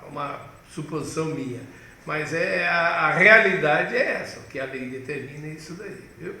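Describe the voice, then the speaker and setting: A middle-aged man teaching as a pastor, in a higher, bright, forceful voice. He chats straight to the viewer and acts out the questions of imagined students. The sound has a strong room echo and a faint hiss behind it. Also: vocal fry. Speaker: pastor and course director. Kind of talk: online course lesson.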